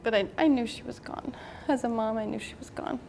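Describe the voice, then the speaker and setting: A woman's voice: fairly high pitch, brisk, gravelly, a little mellow, faint music behind. A middle-aged woman as an interview guest, giving a personal account.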